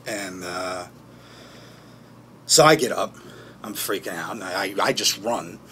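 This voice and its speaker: A man playing a haunted, bitter man who recounts childhood trauma in a halting, foul-mouthed documentary-interview monologue. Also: low voice, sharp tone, a little hiss or hum, full character voice. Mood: agitated, haunted. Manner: halting, foul-mouthed, with pauses and emphatic bursts. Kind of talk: naturalistic dramatic monologue in interview form.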